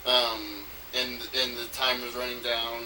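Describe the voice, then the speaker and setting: A man with a strikingly sharp, bright voice rambles through an unscripted audio log. He stops, restarts and repeats his phrases as he thinks aloud. The amateur recording is slightly noisy, and he sounds set back from the microphone. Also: mid-range voice, flat delivery, recorded from a distance. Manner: halting, repeats himself, trails off mid-phrase.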